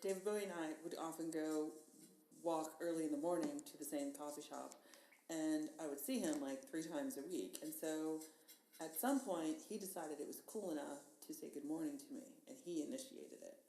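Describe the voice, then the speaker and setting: Woman, middle-aged, very low voice, casual and gushing, lots of laughs and restarts; roomy recording with faint hiss. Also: normal speed, warm tone. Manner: laughing, enthusiastic, trailing restarts. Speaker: guest painter. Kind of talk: casual chat. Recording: echoey room with faint hiss.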